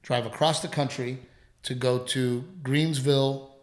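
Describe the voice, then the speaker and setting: A man co-hosting a casual podcast at home, talking in halting, rambling runs, with a lingering dry cough. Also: medium pitch, fairly even intonation, roomy sound. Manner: rambling, halting, full of restarts. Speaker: podcast co-host, comedian. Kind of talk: casual chat.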